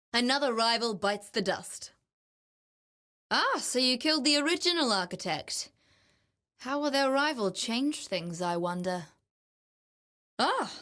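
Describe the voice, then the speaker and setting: A woman playing a keen, wry explorer and ritualist in a video game, speaking in a measured, confiding, dryly playful way. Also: a little high, fairly bright tone. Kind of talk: scripted video game voice acting.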